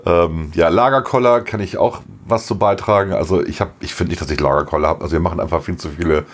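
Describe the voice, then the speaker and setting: Deep voiced guy, kinda hoarse, just chatting on a podcast, laughs a lot. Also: quick pace. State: slightly hoarse. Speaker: podcast co-host. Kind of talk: casual chat.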